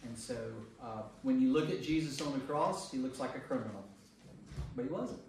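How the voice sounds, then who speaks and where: A man's voice: mid-range voice, lively intonation, moderate pace. An American man, a pastor leading an informal Bible study, talking conversationally and repeating phrases for emphasis. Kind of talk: informal group teaching and discussion. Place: echoey indoor group meeting room. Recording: echoey room, distant mic, faint noise.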